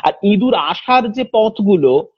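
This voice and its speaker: A woman retelling real-life ghost stories in a conversational, unhurried way, lingering on details and quoting people as she goes. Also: mid-range voice, animated, breathy edge, no room sound, dull audio. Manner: unhurried, detailed, acting out quoted speech. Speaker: host of a supernatural story chat.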